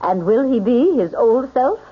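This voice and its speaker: Blunt, husky old matron. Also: female voice, on the high side, sing-song, a little slow, exaggerated voice. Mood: imperious, suspicious. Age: older. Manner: blunt, imperious. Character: hard, proud, grudging old society matron. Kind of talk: 1970s radio drama acting. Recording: dull, band-limited radio drama recording.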